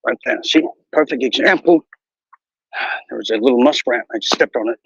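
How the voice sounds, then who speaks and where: A man playing a bumbling, boastful wilderness ranger who hosts a mock nature show, talking in a mock Australian accent with hushed, mock-serious narration and a husky voice. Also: mid-range voice, a little fast, no echo, lo-fi sound. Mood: hushed bravado. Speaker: mock wildlife show host. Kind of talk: improvised parody nature documentary.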